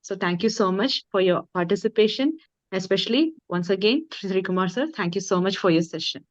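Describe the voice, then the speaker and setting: A woman with an Indian accent and a medium-pitched voice hosts formally and courteously. The sound is dry and slightly dull, like an online meeting. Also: fairly quick, no room sound. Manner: courteous, deferential. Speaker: webinar moderator. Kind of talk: polite formal hosting.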